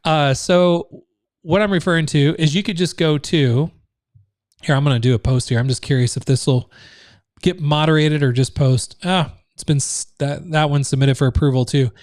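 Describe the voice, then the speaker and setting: A man's voice: on the high side, fairly even intonation, moderate pace, darkish tone, dry recording, studio quality. A man hosting a live online training, explaining steps in a loose, casual way full of fillers and restarts. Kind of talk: live screen-share training.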